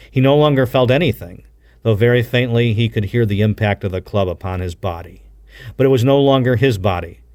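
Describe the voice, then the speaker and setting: A man reading an adventure novel aloud with a deliberate, dramatic storytelling delivery, like a practiced broadcaster. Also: mid-range voice, darkish tone. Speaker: audiobook reader, radio broadcaster.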